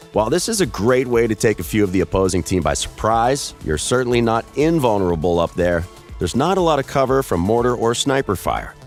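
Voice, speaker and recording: A man giving scripted voice-over narration of game strategy tips in a polished, upbeat delivery. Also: fairly low pitch.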